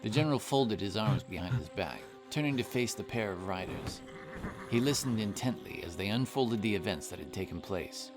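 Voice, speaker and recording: A man's voice: fairly low pitch, animated, moderate pace. A man reading scripted fantasy story narration as the narrator of an audio drama. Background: music.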